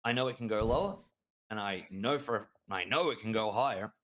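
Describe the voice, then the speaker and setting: A man co-hosting a trading show, talking excitedly and rambling through chart analysis with frequent restarts. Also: lowish voice, brisk. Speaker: crypto trading show co-host. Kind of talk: enthusiastic market analysis chat.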